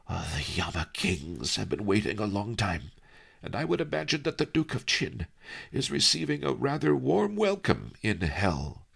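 A wily old sage from a storybook, calm and dry-witted, acted by a male narrator. Deep and gravelly, with a husky texture and a lilting, expressive line. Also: normal speed, exaggerated voice. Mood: wry.

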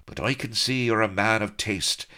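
A man's voice: lowish voice, moderate pace, sharp tone, clear, resonant tone, voice pushed up. A man voicing a cheeky swashbuckling colonel who talks with breezy mock innocence.

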